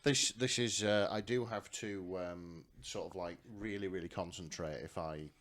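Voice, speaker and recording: A man hosting a podcast in casual chat, speaking in a halting, hesitant way. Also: sits low, a bit bright. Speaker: podcast host.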